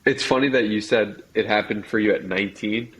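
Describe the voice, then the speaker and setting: A man interviewing a guest on his podcast. His voice is fairly low. He keeps his turns short and asks curious follow-up questions. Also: brisk, a bit bright, poor audio. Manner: brief curious prompts and back-channel. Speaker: podcast host. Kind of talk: interviewer asking follow-ups.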